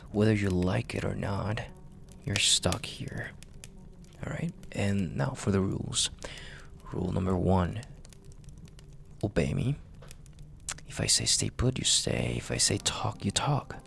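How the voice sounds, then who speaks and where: A man playing a dominant, flirty and possessive mafia boss in an intimate audio roleplay, speaking in a gravelly, breathy half-whisper with a commanding, teasing manner. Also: fairly low pitch, fairly bright tone, full character voice. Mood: serious, controlled, then stern, commanding. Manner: slow, commanding, teasing, with drawn-out pauses.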